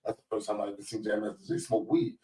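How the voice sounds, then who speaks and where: Man in his forties, deep voice, rambling shout-outs, roomy echo, distant mic. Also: huge pitch swings, fast talker. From Baltimore. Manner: laid-back, rambling storyteller with shout-outs. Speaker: podcast host and DJ. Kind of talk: casual storytelling chat.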